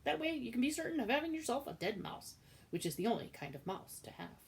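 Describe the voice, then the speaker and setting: A woman voicing a loud, bossy, coarse kitchen cook who shouts orders. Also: on the high side, sing-song, fast, vocal fry, pitched above the performer's own voice. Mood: ranting. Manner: shouting, harsh and blunt.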